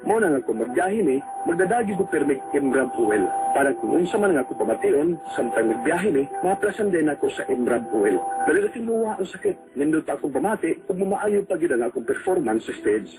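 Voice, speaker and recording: High-pitched man with lively Cebuano delivery. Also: fairly quick, music in the background, low-quality recording. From Philippines. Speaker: radio drama talent giving a testimonial. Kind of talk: radio advertisement testimonial.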